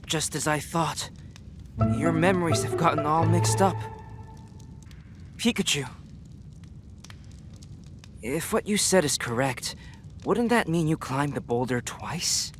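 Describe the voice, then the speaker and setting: An American man playing an earnest, inquisitive teenage boy detective in a video game, talking in a measured, questioning way. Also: a little high, music in the background. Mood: insistent.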